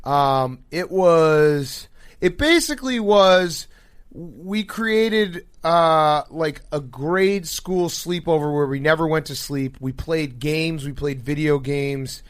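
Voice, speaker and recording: A man's voice: fairly high pitch, slow pace, clean tone, dry recording. A sleep-deprived man hosting a podcast with casual, blunt, slangy sports banter and joking. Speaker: podcast host.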